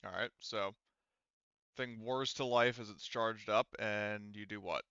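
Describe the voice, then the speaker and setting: A man with a low, smooth voice acts as game master for a tabletop roleplaying game. He talks informally, narrating and explaining rules as he goes. The audio is dry and slightly dull. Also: fairly even intonation, measured pace, bright, forceful tone, dry recording. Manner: informal, mildly profane.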